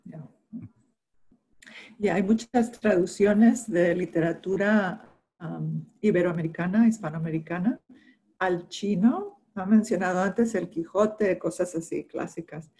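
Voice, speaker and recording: A woman interviewer on a video call, asking her questions in a measured way with some hesitation. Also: lowish voice, dark tone, husky. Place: home office with bookshelves.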